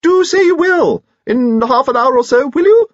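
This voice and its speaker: An excitable old lord from a storybook. The voice is squeaky and pushed very high, gushing in jolly bursts, on a slightly dull recording. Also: male voice, moderate pace, no room sound. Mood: delighted, gushing. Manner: gushing, exclamatory.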